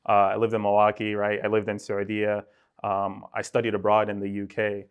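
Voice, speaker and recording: A young man from Sudan, a podcast guest talking in a relaxed, rambling way and filling his speech with "like" and "right?". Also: lowish voice, a little fast, clean tone, phone-quality sound. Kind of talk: casual conversational interview.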